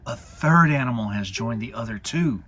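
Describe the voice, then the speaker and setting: An earnest, friendly toy bear from a storybook, voiced by a man. He puzzles things out in a ponderous, halting way, with lively emphasis and music behind. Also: mid-range voice, natural voice. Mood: earnest, excited.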